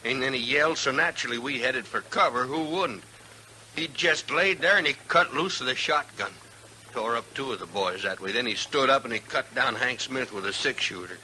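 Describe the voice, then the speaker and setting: An American actor playing a bitter, defiant captured outlaw in a 1950s radio western, with folksy, indignant speech. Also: male voice, medium pitch, normal speed, bright, forceful tone, rough recording. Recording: hissy 1950s radio drama recording.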